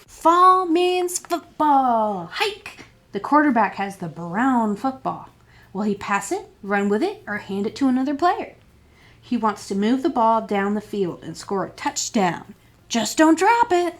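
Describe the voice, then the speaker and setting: A woman's voice: a little high, very animated, measured pace. A woman reading a children's picture book aloud as a storytime librarian, expressively and with questions put to young listeners.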